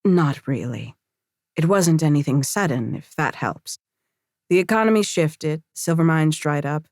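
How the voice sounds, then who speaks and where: A woman's voice: low-pitched, animated, unhurried, a little mellow, no echo, studio quality. An actress playing a seasoned female leader of fantasy fighters in an audiobook, curt, commanding and matter-of-fact. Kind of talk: audiobook character acting.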